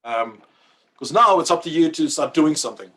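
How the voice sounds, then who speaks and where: A man's voice: on the high side, expressive, pushed and bright, breathy edge. Dutch-native man teaching coding online. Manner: casual, halting, many fillers and restarts. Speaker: workshop presenter. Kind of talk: online coding workshop demo.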